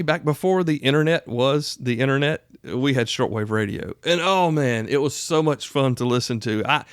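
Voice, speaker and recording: A man's voice: medium pitch, no room sound. Casual American man, dry close sound. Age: 40s. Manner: conversational, folksy, addresses the listener directly. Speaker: host and instructor of a prepper radio show. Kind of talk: casual instructional talk.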